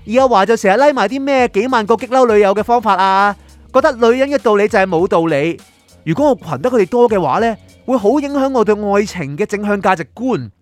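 A man with a Hong Kong accent playing an exasperated, henpecked boyfriend, telling his love woes in a colloquial, self-mocking radio drama style. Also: sits high. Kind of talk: dramatised first-person comic storytelling.

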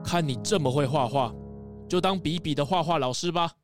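A man's voice: fairly high pitch, a little fast, lightly acted. An actor playing a stern tycoon father in a children's audio drama. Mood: relenting. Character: stern, indignant father, a tycoon who softens. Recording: loud music behind.

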